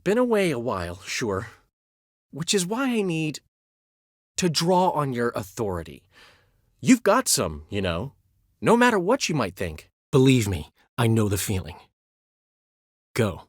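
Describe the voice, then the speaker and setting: A man's voice: medium pitch, huge pitch swings, a little slow, long pauses, no echo, clean studio sound. An actor playing a flamboyant, talkative male bard in a video game, witty and theatrical. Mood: chatty. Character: flamboyant, chatty middle-aged male bard and poet. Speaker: game character.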